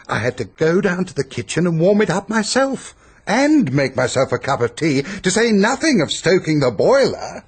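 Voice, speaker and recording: An actor in a radio drama playing a pompous, peevish, self-pitying upper-class English hypochondriac who blusters and complains. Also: male voice, on the high side, sing-song, normal speed, husky, exaggerated voice. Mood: indignant, self-pitying.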